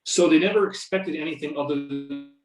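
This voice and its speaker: A man's voice: fairly high pitch, a little fast, over music, natural voice. A man playing an attentive, probing interviewer in an amateur readers theater held over a conference call. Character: attentive male interviewer questioning a UFO researcher. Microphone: videoconference or conference call. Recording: roomy, echoing videoconference audio.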